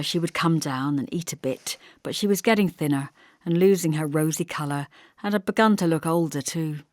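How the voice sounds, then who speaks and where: A woman reading a literary first-person story as an audiobook narrator, measured and expressive. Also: low-pitched, dry recording, studio quality.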